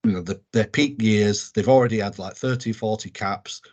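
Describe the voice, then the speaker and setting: Husky low-voiced Englishman chatting. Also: moderate pace, a little mellow. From England. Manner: rambling with many fillers and restarts. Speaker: podcast co-host. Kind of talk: casual sports chat.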